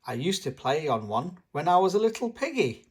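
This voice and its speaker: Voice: medium pitch, sing-song, a little fast, a little mellow, slightly rough. A storybook reader voicing a jovial, easily flustered elderly grandfather pig in an emphatic way. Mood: amused. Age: older. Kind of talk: children's storybook read-aloud.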